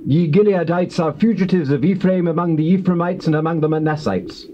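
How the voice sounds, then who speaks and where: Guy acting hostile old testament guard, stagey challenge, raised pitch, muffled tape. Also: fairly even intonation, fairly quick, mellow, lightly acted. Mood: scornful. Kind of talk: dramatized scripture drama. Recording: muffled old cassette, clear hiss.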